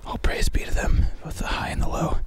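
Guy whispering asmr on a walk outside, rambly and mock spooky, halting, handheld shotgun mic. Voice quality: breathy whisper. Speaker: vlogger and ASMR creator. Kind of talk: whispered ASMR walk-along narration, casual. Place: outdoors in the woods, walking. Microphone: handheld shotgun microphone.

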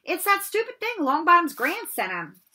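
A woman's voice: very high-pitched, big pitch swings, normal speed, pushed and bright, voice pushed up, full character voice. A woman voicing a sneering schoolboy bully with a mocking, taunting manner. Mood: gleeful mockery.

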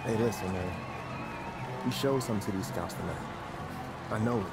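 A man's voice: fairly low pitch, normal speed, gravelly, over a noisy crowd. A man playing a cocky, playful young basketball rival in a video game, bantering in a streetwise manner. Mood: playful, proud. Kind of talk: cinematic game dialogue. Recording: loud music behind the voice.

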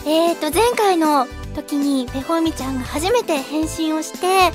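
Very high-voiced cheerful young woman. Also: moderate pace, over music. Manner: cheerful, stumbles over words and giggles. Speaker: voice actress. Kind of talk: cast comment.

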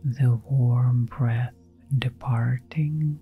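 A woman guiding a relaxation meditation in a soft, breathy half-whisper, giving gentle, soothing instructions with long pauses. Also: rumbling low, fairly even intonation, narrow, tinny audio. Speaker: meditation guide. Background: background music.

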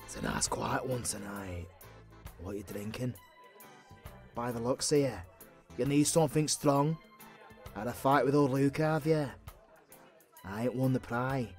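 A British man playing a gruff but kindly old pub landlord, chatty, blunt and sweary, in a casual audio roleplay. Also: mid-range voice, unhurried, long pauses, a little mellow, soft background music, lightly acted. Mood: easygoing, reassuring.

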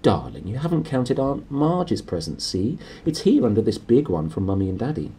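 A man voicing a shrill, bossy aunt who dotes on her son, snappy and demanding, in a storybook reading. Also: wildly swooping pitch, normal speed, warm tone, distant mic, slight background noise. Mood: coaxing, doting.

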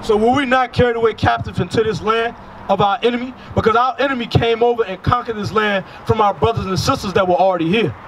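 High-voiced man preaching on a street. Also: fast talker, a bit bright, poor audio. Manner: emphatic, asks rhetorical questions. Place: outdoors by a street. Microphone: handheld microphone. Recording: outdoor traffic noise. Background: passing cars.

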